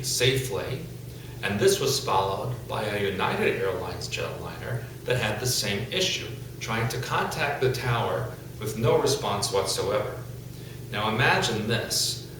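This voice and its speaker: A middle-aged man from the United States giving news commentary in a measured, deliberate, emphatic way. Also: lowish voice. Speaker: video host, commentator. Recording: echoey, distant mic with loud hum.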